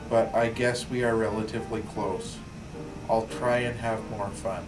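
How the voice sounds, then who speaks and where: A man reading a short letter aloud in plain, simple sentences. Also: lowish voice. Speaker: staff member reading aloud. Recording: distant mic, guitar music behind. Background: guitar music.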